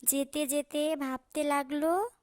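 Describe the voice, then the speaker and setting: A woman narrates a scripted children's fable. Her voice is very high and her pace is slow. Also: fairly even intonation, a little mellow.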